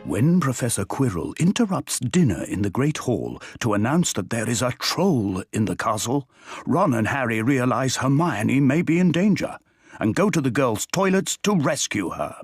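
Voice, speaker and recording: A man playing the storytelling narrator of a wizard school video game, reading scripted narration in a husky voice. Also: mid-range voice, big pitch swings, normal speed, no room sound, studio quality. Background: faint game music.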